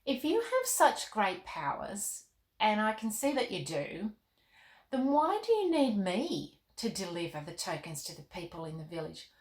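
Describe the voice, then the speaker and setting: Female reader as young storybook girl, earnest, expressive, questioning, medium pitch, echoey room. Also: unhurried. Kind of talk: storybook read-aloud. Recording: roomy, echoing indoor sound.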